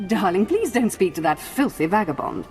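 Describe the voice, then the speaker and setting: A woman's voice: mid-range voice, very animated, normal speed, creaky, big-room sound, faint music behind. A haughty, scheming noblewoman. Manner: drawling, condescending. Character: scheming, haughty female noble, cruel and condescending.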